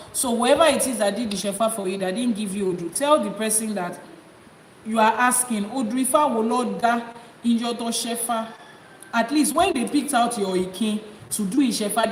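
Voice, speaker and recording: A woman, teaching viewers on a live stream as an Ifá priestess, coaxing them with repeated questions and breaking into rhythmic chanted invocations. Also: medium pitch. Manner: rhythmic, rising chant in long litanies. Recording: echoey reverberant room with background noise.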